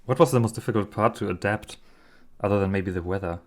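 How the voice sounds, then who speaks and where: A man hosts an interview podcast. His voice is on the low side, and he speaks as an interviewer from Germany. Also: fast. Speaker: podcast host. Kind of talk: interview hosting.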